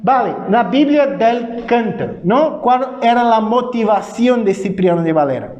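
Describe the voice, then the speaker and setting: A man with a distinctly high-pitched voice and a Brazilian accent speaks as a native Portuguese speaker. He lectures like a pastor teaching his congregation, informal and didactic. He keeps punctuating his explanations with short checking questions. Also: lively intonation, unhurried, darkish tone, muffled recording. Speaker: pastor giving a church history lecture.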